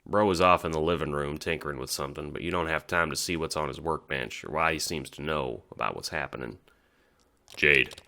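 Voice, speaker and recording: A man's voice: a bass voice, quick pace, hardly any pauses, sharp tone. A man narrating an audio drama in the second person, as if telling the listener their own story.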